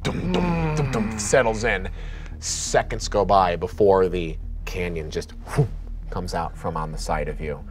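A man running a tabletop horror game as game master, describing scenes and trailing into low murmurs. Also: measured pace, music in the background. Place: studio set at a gaming table.

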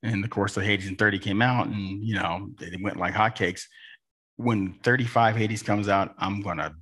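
A middle-aged man speaks as a panelist in relaxed conversational style. His pitch is on the low side and his voice has a noticeably husky, rough grain. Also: moderate pace. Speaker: panelist, airgun expert. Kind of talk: casual panel chat. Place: panel table with several people at an expo.

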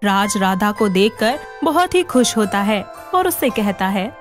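A woman's voice: medium pitch, very animated, a little slow, soft background music. A woman reading scripted story narration as the narrator.

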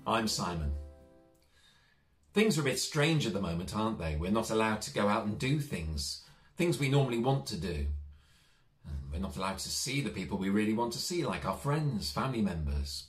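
Children's storyteller: man, middle-aged, lowish voice with wide melodic range, echoey room. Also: moderate pace, faint music behind. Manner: gentle, reassuring, expressive. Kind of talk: children's story reading, direct address to young listeners. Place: small child's bedroom, indoors.

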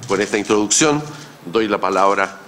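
A middle-aged man moderating a panel in a conference hall, giving a formal, measured introduction of a guest into a table microphone. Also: on the low side, fairly bright tone, slight background noise. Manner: measured, ceremonious delivery with pauses. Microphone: gooseneck table mic.

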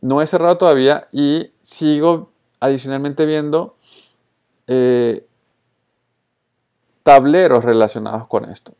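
Male tutorial presenter, Colombian-Venezuelan accent, relaxed dark tone, mid pitch, informal and spontaneous, drops in English tech words. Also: unhurried, long pauses, clear, resonant tone. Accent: Colombian-Venezuelan Spanish. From born in Colombia, raised in Venezuela. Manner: informal, mixes in English terms. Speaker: social media tutorial presenter. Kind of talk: casual screencast tutorial, spontaneous narration.

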